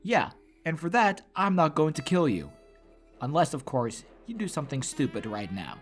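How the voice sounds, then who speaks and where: A man's voice: on the high side, sing-song, moderate pace, voice pushed up. A man voicing a cynical, threatening unicorn pony bandit with a sarcastic, taunting delivery in an audiobook. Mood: cold threat. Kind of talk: audiobook character voice. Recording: soundtrack music under the voice.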